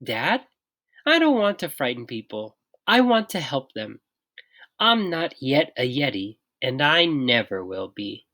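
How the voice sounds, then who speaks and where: A storybook reader voicing a gentle, earnest young boy yeti who sounds plaintive and sincere. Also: mid-range voice, sing-song, unhurried, heavily acted. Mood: earnest, determined.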